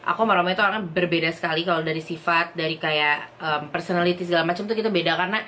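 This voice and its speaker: A woman's voice: lowish voice, slight background noise. A young woman from Indonesia chatting casually as a vlogger, reading out viewer questions and answering them at home. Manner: lively, chatty, quick bursts, reads questions aloud. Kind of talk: casual chat.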